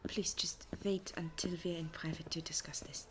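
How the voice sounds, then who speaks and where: A woman playing an anxious, hurt wife in an audio drama, speaking softly and breathily with a hesitant, halting delivery. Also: low voice, steady tone, in a whisper, lightly acted. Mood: guarded, worried. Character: hurt, anxious wife of a missing man, soft-spoken. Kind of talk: audio roleplay acting.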